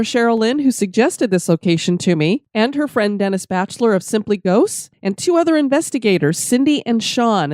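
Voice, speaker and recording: A woman's voice: a little high, a little mellow, dry recording, studio quality. A middle-aged woman with a stuffy summer cold reads her scripted podcast narration as host.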